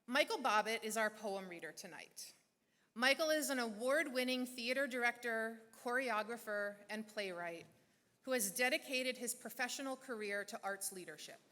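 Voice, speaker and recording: Female emcee, bright and forceful, reads a prepared speech at a measured pace. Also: fairly high pitch. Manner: measured, deliberate pauses. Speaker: event host. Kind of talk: scripted speech at a gala. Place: large church hall. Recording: long reverb of a large hall.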